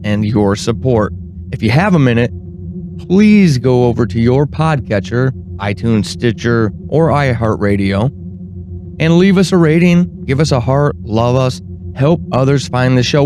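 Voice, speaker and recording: A man's voice: mid-range voice, noisy background. A man giving an earnest, appreciative promotional introduction as a podcast announcer.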